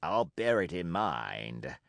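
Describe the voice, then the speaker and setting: A man voicing a crafty older one-legged pirate cook who speaks in a low, gruff, commanding way. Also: big pitch swings, takes it slowly, dry recording, heavily acted. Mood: dry.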